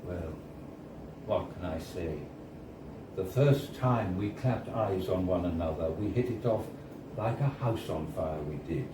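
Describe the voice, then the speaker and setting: A man's voice: sits low, expressive, a little slow. Man reading a story in a roomy, noisy venue. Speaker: reader of a short story. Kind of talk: live literary reading of a first-person story. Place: indoors at a bar, live reading. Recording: roomy, distant, noisy live bar recording. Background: clear background noise and room ambience.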